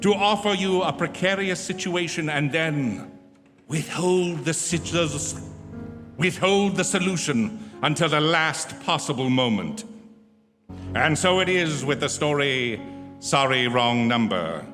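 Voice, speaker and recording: An actor playing a mysterious, ominous radio host, announcing in a measured, theatrical 1940s suspense style. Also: male voice, on the high side, lively intonation. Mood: ominous, stately. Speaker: announcer introducing the play. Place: live stage in a theatre. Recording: reverberant theatre hall. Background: music.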